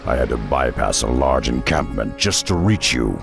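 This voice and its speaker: Gravelly, extremely deep half-ogre warrior. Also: male voice, animated, moderate pace, music in the background, full character voice. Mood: stern, grave. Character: gruff hulking half-ogre warrior, blunt and stoic. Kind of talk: video game cutscene acting.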